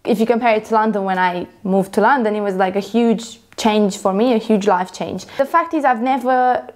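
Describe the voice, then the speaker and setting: A woman's voice: medium pitch, normal speed, a little mellow. A young woman from Romania, a photographer vlogger, talking casually to camera and rambling with many fillers and self-corrections.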